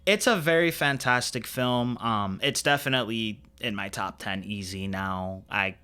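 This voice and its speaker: A man's voice: lowish voice, normal speed, bright, forceful tone, smooth. A man co-hosting a podcast, talking casually and opinionatedly about films in an informal, chatty way. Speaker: podcast co-host.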